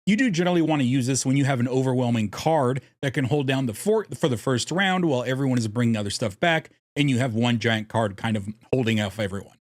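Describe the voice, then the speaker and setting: A man's voice: mid-range voice, fast, few pauses, dry recording, studio quality. A man narrating a scripted strategy guide in a jokey, irreverent way, casually swearing as host. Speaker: host of a card game deck guide.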